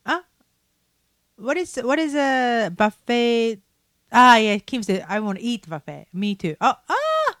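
A woman with a Japanese accent, co-hosting a casual chat show, playful and quick to laugh. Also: a little high, very animated, a bit bright, no room sound, clean studio sound. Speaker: podcast co-host.

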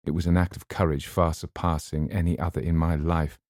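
A man's voice: very deep voice, level tone, brisk, a little mellow, no echo. A man voicing a wry, self-mocking young man, in an audiobook reading. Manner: dry, wry.

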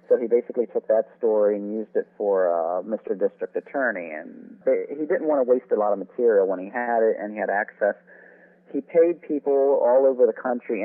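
Phone-line man with a low voice. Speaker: interview guest, author on old-time radio. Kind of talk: explanatory interview talk about radio history. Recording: phone-like narrow, tinny sound.

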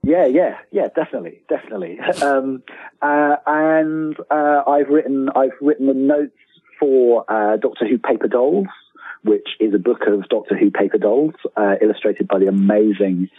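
A man's voice: medium pitch, fairly even intonation, normal speed, slightly rough, no room sound. A man chatting casually as an interview guest, hesitant and wryly self-deprecating, over a tinny remote call. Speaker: guest, writer of books and audio dramas. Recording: tinny phone-like line.

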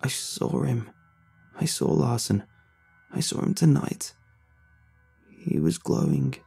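A man voicing a frightened teenage boy who speaks quietly and pitifully, out of breath. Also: medium pitch, sing-song, moderate pace, vocal fry, husky, faint music behind, exaggerated voice.

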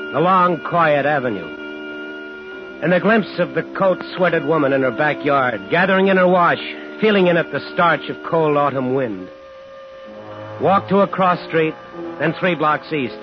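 An actor playing a determined, angry war veteran in a 1950s radio thriller, terse and insistent. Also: male voice, fairly high pitch, measured pace, close to an everyday voice. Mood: brooding narration. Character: determined, angry young war veteran hunting his brother-in-law's killer. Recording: dull 1950s radio broadcast with music.

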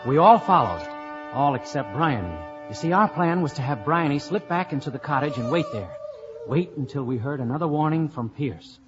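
A man plays a breezy, earnest American investigator telling his case. His voice sits fairly high. It is 1950s radio acting, dull in sound with faint music behind. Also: animated, moderate pace, natural voice. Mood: narrating.

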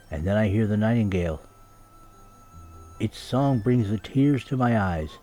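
A man's voice: on the low side, warm tone. A man voicing a poor, humble young kitchen maid in a storybook, earnest and tender-hearted.

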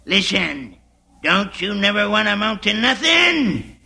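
A man's voice: high voice, big pitch swings, unhurried, vocal fry, bright, forceful tone, full character voice. An actor playing a scolding, folksy werewolf father in a 1950s radio comedy. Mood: indignant. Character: gruff, scolding old-fashioned werewolf father. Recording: noisy 1950s radio recording.

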